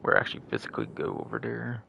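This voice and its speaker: A man's voice: lowish voice, huge pitch swings, rapid-fire, gravelly, highs cut off. A man live-streaming a game, grumbling and swearing casually, often repeating himself as he mutters along. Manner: foul-mouthed, grumbling. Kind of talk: casual live gameplay commentary. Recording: loud noise behind the voice.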